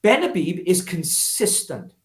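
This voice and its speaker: A Welsh man with a fairly high voice that swings widely in pitch. He argues politics emphatically and spontaneously, like an orator, repeating himself for weight and posing rhetorical questions. The room around him is noticeably echoey. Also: sing-song, unhurried. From Wales. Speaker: political activist guest, former parliamentary candidate. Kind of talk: spontaneous political interview talk.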